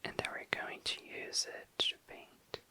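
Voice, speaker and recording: A young adult ASMR host whispering casual, tentative commentary, often self-correcting in an unhurried way. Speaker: host of an ASMR makeup video. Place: indoors, tabletop close-up video.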